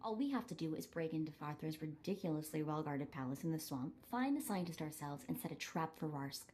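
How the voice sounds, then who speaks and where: An actress voicing an eager, determined young streetwise sidekick in a cinematic video game, earnest and spirited. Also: female voice, low voice, expressive, fairly quick, darkish tone. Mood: confident briefing.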